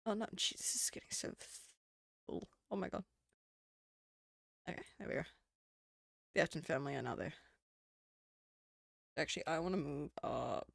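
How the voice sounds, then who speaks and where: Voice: mid-range voice, expressive, moderate pace, creaky, pauses often, a bit bright, dry recording, clean studio sound. A streamer with a New Zealand accent, chatting casually on a live stream, rambling and swearing. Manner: rambling and swearing, with frequent laughs.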